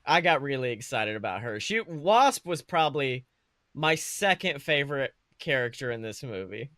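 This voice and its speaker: A man co-hosting a casual movie review chat, talking in an excitable, slangy way with casual swearing. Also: mid-range voice, normal speed, no echo, studio quality. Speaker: podcast co-host.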